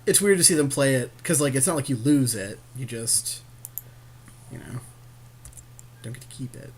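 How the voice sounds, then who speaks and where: A man's voice: medium pitch, lively intonation, normal speed, a little hiss or hum. A man chatting casually as he commentates a card game with a co-host, jokey and agreeable.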